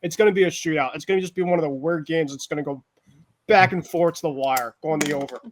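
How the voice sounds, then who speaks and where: A man's voice: fairly high pitch, fast. A man making casual game predictions as a sports panelist.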